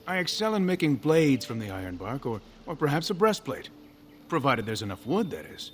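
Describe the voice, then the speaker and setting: An actor playing a proud, fussy elven master craftsman in a video game, speaking formally and with measured scolding. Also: mid-range voice, very animated, a little hiss or hum, lightly acted. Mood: reserved, obliging. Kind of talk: video game character dialogue.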